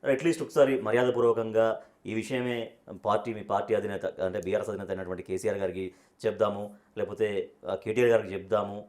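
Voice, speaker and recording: A man's voice: on the low side, level tone, fast, a little mellow. A man working as a TV interviewer, putting probing political questions and rephrasing them as he goes.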